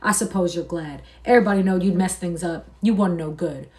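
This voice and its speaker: A woman's voice: mid-range voice, moderate pace, a little mellow, natural voice. A woman reading aloud and voicing an old male ranch hand who talks in rural, folksy speech. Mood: bitter grief.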